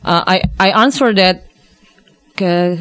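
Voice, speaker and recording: A woman's voice: fairly low pitch, takes it slowly, a bit bright, clear, resonant tone, no echo. A woman in her thirties from Indonesia with an Indonesian accent, talking as a guest in a discussion, with many hesitations and restarts. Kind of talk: casual discussion.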